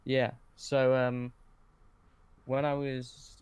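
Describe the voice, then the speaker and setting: A young man talking casually as a podcast guest, looking back in a hesitant, low-key way with pauses mid-sentence. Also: monotone, a little mellow, clean tone. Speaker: podcast guest, script writer. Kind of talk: casual reminiscing in interview.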